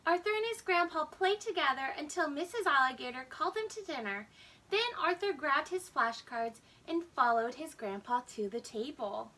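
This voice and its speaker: A woman hosting storytime, narrating a children's story in an animated, expressive way. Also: high voice, normal speed, a little hiss or hum. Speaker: storytime host and reader. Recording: slightly distant microphone.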